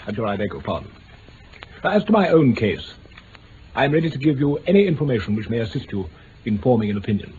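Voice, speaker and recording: Man playing a pompous, animated English nobleman. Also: medium pitch, fast, lightly acted. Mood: haughty, flustered. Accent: upper-class English. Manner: pompous, stiff, hesitant over delicate words. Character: pompous, easily affronted aristocratic English nobleman. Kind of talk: 1950s-60s BBC radio drama acting. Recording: muffled, hissy old radio recording.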